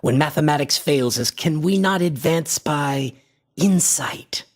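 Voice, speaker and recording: An actor playing an obsessed, eccentric antiquarian visionary in a radio horror drama, with a husky, impassioned and pompous delivery. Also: male voice, a little high, unhurried. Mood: musing, persuasive.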